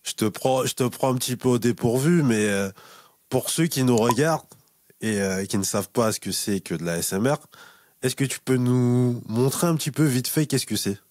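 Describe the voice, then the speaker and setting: A man's voice: mid-range voice, moderate pace, darkish tone, dry recording, studio quality. A man hosting a podcast and interviewing in a casual, somewhat hesitant conversational way.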